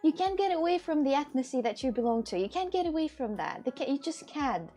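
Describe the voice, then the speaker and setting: A woman's voice: high-pitched, expressive, fast, warm tone. A woman from Russia with a Russian accent, streaming in casual chat, arguing and breaking into disbelieving laughs and cries.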